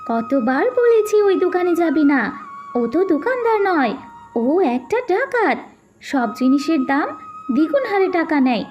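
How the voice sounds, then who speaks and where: A woman's voice: extremely high pitch, big pitch swings, moderate pace, dull audio, soft background music. A woman voicing a poor, careworn widowed mother jackal with a scolding, exasperated manner in a cartoon. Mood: angry, scolding.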